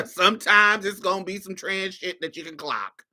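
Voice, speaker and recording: A woman's voice: medium pitch, pushed and bright. A woman hosting a podcast, giving a casual monologue in a sassy, blunt way. She swears freely and laughs often. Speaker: podcast host.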